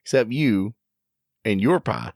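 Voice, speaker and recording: A man's voice: on the low side, animated, slow pace, a little mellow, no echo, clean studio sound. Joking, foul-mouthed man on a podcast. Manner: crude, joking, swears a lot. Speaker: podcast co-host. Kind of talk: casual chat.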